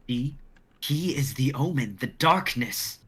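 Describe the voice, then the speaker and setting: A man's voice: medium pitch, lively intonation, takes it slowly, a bit bright, slightly rough, exaggerated voice. A man playing a bitter, entitled young knight, sneering and accusatory, in an amateur fantasy drama reading. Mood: accusing.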